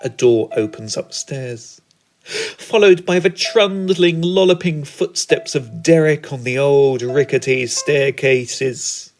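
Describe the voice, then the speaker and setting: Man, slow deliberate delivery, theatrical spoken word storytelling, music underneath. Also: medium pitch. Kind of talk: scripted comic narrative poetry recital.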